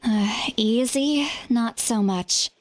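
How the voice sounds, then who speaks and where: An earnest young seer from a video game, speaks in a high voice. She sounds worried and insistent, explaining things with urgency. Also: slow pace, a little hiss or hum. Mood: serious, concerned.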